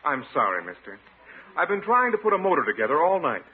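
An actor playing a wisecracking, weary young service station owner, sardonic and needling, in an old-time radio comedy. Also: male voice, fairly high pitch, very animated, quick pace, breathy edge. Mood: exasperated. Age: young adult. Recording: tinny vintage radio, faint noise.

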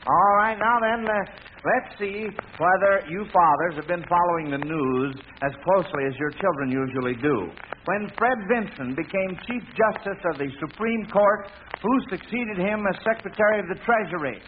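A man's voice: high voice, normal speed. A man hosting a 1940s radio quiz show, addressing contestants in a formal announcer's manner. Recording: noisy lo-fi vintage radio broadcast.

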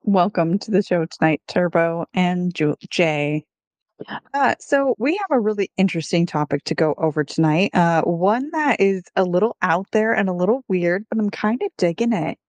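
Female podcast host. Laid-back, conversational, voice sits a bit low. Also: animated, moderate pace, a little mellow, no echo. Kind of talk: casual podcast chat with some scripted intro.

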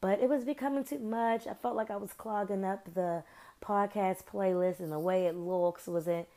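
A woman's voice: medium pitch, steady tone, normal speed, warm tone. A woman hosting her own podcast in a casual, chatty way, full of slang and rambling asides, her voice a little off from sinus trouble. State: recovering from sinus trouble, voice varies. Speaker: podcast host. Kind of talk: casual solo podcast chat.

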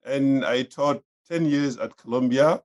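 A man in his fifties, a professor being interviewed, answering thoughtfully with many pauses and uhs. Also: mid-range voice, a little mellow. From Senegal. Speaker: professor, guest.

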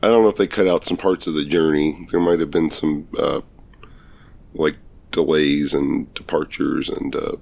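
A man co-hosting a casual podcast, his voice husky and hoarse from a cold, talking in a laid-back, rambling, self-mocking way. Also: extremely low pitch, fairly even intonation, moderate pace, a little mellow. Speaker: podcast co-host.

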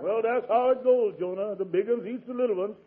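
A man's voice: high-pitched, fairly quick, a faint crowd, a little hiss or hum, lightly acted. A man with a Southern American accent playing a bossy, grumbling, gluttonous whale in a folksy 1940s radio comedy style. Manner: folksy, scolding, exclaiming. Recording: tinny old radio recording, audience behind.

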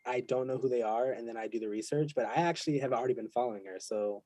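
A man's voice: medium pitch, fast talker, mellow, no room sound. Easygoing male co-host, dry sound. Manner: easygoing, chatty. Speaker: podcast co-host. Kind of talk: casual interview chat.

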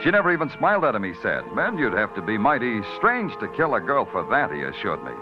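An actor playing a hard-boiled police detective who narrates in a dry, wisecracking way in an old radio drama. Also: male voice, medium pitch, huge pitch swings, fast. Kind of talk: 1940s radio acting. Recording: dull old radio recording with music.

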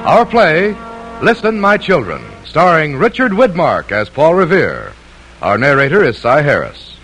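A man's voice: a little high, very animated, a little slow, fairly bright tone, slightly rough, soft background music, low-quality recording. A man announcing a radio play in a formal 1950s broadcast style on an old recording.

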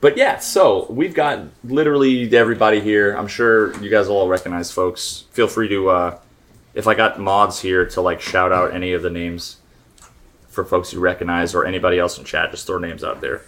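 Rambling low-voiced man at a convention. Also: fairly even intonation, normal speed, fairly bright tone. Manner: rambling, joking storyteller. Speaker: podcast host. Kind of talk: casual chat. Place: convention venue.

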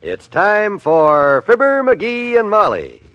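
A man's voice: sits high, wildly swooping pitch, slow, no room sound, muffled recording. A man working as announcer and narrator of a classic radio show, in a folksy storytelling style.

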